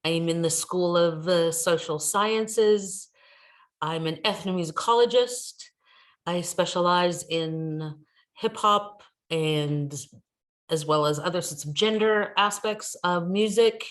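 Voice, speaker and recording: American woman on a video call, lower voice, talking slow and hesitant like she's thinking it through. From United States. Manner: thoughtful, hesitant, many ums. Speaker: academic guest speaker. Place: home in New Zealand.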